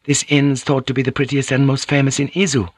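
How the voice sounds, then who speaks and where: A man voicing a gentle teasing noblewoman. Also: mid-range voice, level tone, fairly quick, no echo, clean studio sound, voice pushed up, close to an everyday voice. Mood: coaxing. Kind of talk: audiobook character voice.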